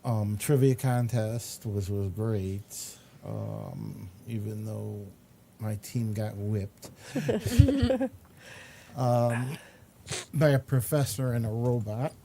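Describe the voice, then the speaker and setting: Slow-talking, mellow man chatting as host. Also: lowish voice, very animated, gravelly. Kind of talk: casual chat. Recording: faint background noise.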